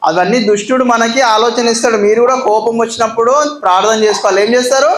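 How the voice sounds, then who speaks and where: Children's club host, quick delivery, prompting and instructing, echoey hall, faint noise. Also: mid-range voice. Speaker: children's Bible club puppet show host. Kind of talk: call-and-response talk to children.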